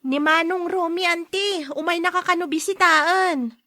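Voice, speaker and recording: A woman's voice: very high voice, moderate pace, pushed and bright, no room sound, studio quality. A woman playing a chatty, teasing young niece in a Philippine radio drama, playful and nagging.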